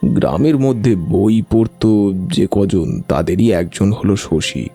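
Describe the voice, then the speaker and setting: Man acting slow, gravelly old village uncle. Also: lowish voice, expressive, dark tone, exaggerated voice. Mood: grave, reminiscing. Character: older village uncle, reticent, recalling old secrets. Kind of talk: audio story drama. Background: music.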